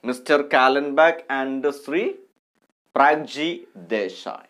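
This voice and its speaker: A man in his thirties with an Indian accent, teaching an English lesson and reading aloud with deliberate, careful articulation. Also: medium pitch, fairly bright tone. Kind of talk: online lesson with text read aloud.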